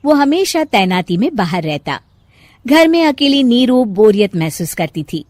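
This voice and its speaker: A woman's voice: a little high, very animated, measured pace, a little mellow, no echo, clean studio sound. A woman storyteller reading scripted narration for a moral bedtime story.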